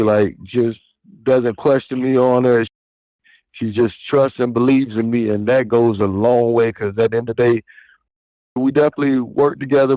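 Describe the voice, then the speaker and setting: A man's voice: mid-range voice, warm tone, no echo, rough recording. A man in his thirties, a truck driver, chatting casually and loosely as an interview guest. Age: 30s. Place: outdoors at a trick-or-treat event.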